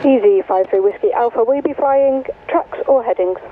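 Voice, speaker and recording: Female air traffic controller, clipped radar talk-down phraseology, narrow radio sound with clear static. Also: fairly high pitch, brisk. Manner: clipped, procedural, instructing. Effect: aviation radio. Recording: tinny, noisy aviation radio transmission.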